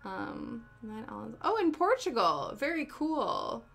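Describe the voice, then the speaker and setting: A woman's voice: a little high, huge pitch swings, slow, vocal fry, a bit bright. A young woman from Canada chatting casually with viewers on a live stream, answering chat with giggly asides. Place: home, living in the UK. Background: faint background music.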